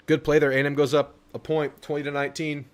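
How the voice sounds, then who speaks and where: A man's voice: on the high side, little pitch movement, fast talker. A young man hosting a casual live stream, reading viewer messages aloud and answering them. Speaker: livestream host.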